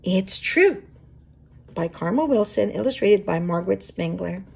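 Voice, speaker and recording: A woman reading a children's storybook aloud as a teacher would, expressive and explanatory. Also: medium pitch, a little slow, vocal fry, breathy edge.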